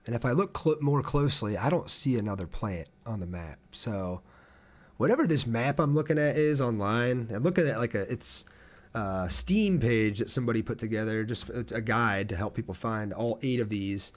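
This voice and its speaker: A man's voice: medium pitch, lively intonation, normal speed. A man live streaming a game, thinking aloud in an informal way and chatting casually with viewers as he plays.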